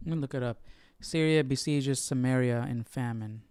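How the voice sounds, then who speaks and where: Clear-voiced man musing aloud, closely recorded. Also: medium pitch, moderate pace, darkish tone, no echo. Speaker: Bible study livestream host. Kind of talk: casual spoken reactions while studying.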